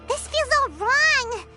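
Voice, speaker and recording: A woman voicing a small, childlike fairy companion in a video game, excitable and blunt with a squeaky voice. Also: heavily acted. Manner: folksy exclamations, eager and blunt. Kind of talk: English video game voice acting.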